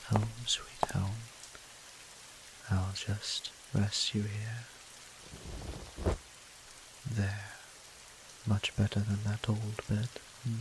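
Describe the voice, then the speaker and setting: A man acting as a courteous, teasing vampire priest in an intimate audio drama. His soft, breathy voice sits on the low side and moves very slowly, with little rise or fall. Rain and hiss sound clearly behind him. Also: level tone. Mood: wistful, courteous. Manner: soft, breathy, courteous, old-fashioned phrasing. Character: gentle, teasing male vampire priest tending a stranger. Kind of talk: slow intimate audio roleplay, speaking to the listener.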